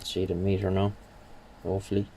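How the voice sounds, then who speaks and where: A man's voice: sits low, completely flat delivery, creaky, background noise. A man giving a casual, hesitant running commentary as he works on a repair, muttering to himself at times. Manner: unhurried, muttering, hesitant while working. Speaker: hobbyist radio restorer.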